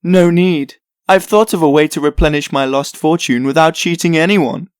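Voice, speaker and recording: Man acting a suave, high-voiced scheming prince. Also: normal speed, clear, resonant tone, no room sound, clean studio sound, natural voice. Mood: scheming, eager. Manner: suave, self-satisfied. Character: vain, smooth-talking, scheming broke prince. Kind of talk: comic dub acting.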